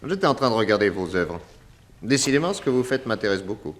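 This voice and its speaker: A shrewd police inspector in a 1960s radio play. His voice sits low and swings expressively as he questions people. He is polite, patient and always probing. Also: sing-song, quick pace. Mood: courteous, probing. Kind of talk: early 1960s French radio drama acting.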